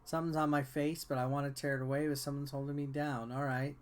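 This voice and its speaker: A man's voice: medium pitch, level tone, quick pace. A man reading visual novel text aloud as a game player, with offhand, wry asides. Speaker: video game reviewer and let's player. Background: game music.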